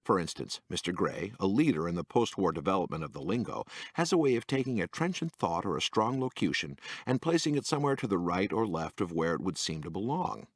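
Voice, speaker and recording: Man, deep voice, very lively pitch, wry narration, dry close sound. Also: brisk, hardly any pauses, dry recording. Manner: dry, wry delivery that lifts into quoted voices. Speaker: narrator reading a magazine essay aloud. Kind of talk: scripted narration.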